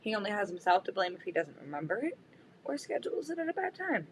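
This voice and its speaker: A woman's voice: mid-range voice, sing-song, fast talker, a little hiss or hum. A woman co-hosting a casual podcast chat, blunt and joking, swearing freely. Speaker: podcast co-host.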